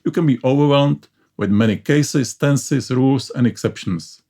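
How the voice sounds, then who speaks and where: A man's voice: mid-range voice, moderate pace, warm tone. Man narrating. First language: Czech. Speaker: educational channel host. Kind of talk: scripted explainer narration.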